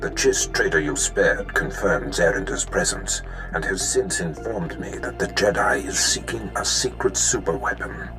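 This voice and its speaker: A man playing an imperious, scheming Sith lord in a video game, grave, deliberate and formal. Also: low-pitched, music in the background, lightly acted, poor audio. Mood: cold, menacing. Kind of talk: scripted video game dialogue acting.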